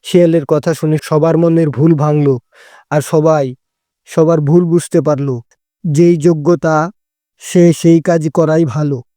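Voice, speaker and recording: A man's voice: fairly high pitch, fairly even intonation, a little slow, long pauses, warm tone, no echo, clean studio sound. A man narrating a scripted cartoon fable as a storyteller.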